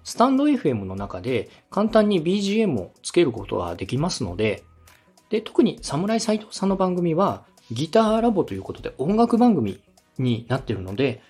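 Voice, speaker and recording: A man hosting a podcast, politely and methodically giving encouraging production advice in a slightly husky voice. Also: fairly high pitch, wildly swooping pitch, fairly quick, a little mellow, soft background music. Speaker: podcast host and radio director giving feedback.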